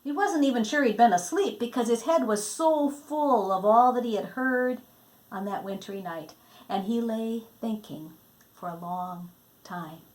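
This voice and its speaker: A woman reading a Christmas storybook aloud in an expressive, dramatic way, letting phrase endings fall hushed. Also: fairly high pitch, unhurried, poor audio. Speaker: storybook reader.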